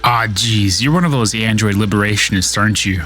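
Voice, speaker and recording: A man's voice: fairly low pitch, a little fast, bright, forceful tone, soft background music. A man playing a wisecracking, exasperated spacefaring sidekick in an amateur sci-fi radio drama.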